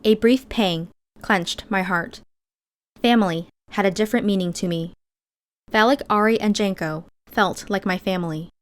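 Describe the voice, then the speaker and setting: A woman narrates a novel in the first person. Her voice sits at a middle pitch. The reading is scripted audiobook storytelling. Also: moderate pace.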